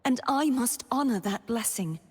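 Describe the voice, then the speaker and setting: A woman's voice: fairly high pitch, expressive, normal speed, husky, big-room sound. An actress playing a noble, solemn young woman knight in a video game, with formal, dramatic delivery. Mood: earnest, solemn. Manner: formal, dramatic, archaic phrasing. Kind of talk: scripted video game character voice lines.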